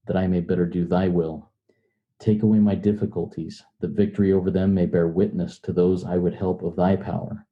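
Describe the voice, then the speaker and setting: A man hosting a recovery meeting, speaking as the one who leads announcements and prayer, on dull lo-fi audio. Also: sits low. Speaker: podcast host.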